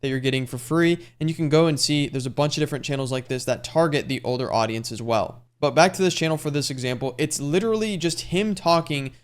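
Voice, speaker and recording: Fast-talking young male YouTube coach. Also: on the high side, clear, resonant tone. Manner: fast, casual, salesy talk with frequent "like". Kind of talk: screen-share tutorial.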